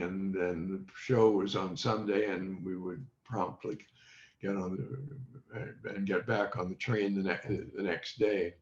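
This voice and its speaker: Slow-talking older man, echoey sound. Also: fairly low pitch, darkish tone. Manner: halting, deliberate. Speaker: interview guest, former child radio quiz contestant. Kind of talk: reminiscing in an interview. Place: remote video call. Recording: dull, echoey video-call audio.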